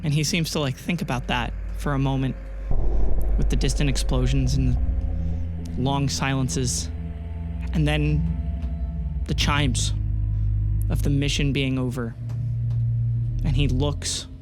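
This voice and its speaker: Slow-spoken male game master over music. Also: mid-range voice, steady tone. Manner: slow, measured, full of pauses. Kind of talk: slow descriptive scene narration. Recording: loud music bed.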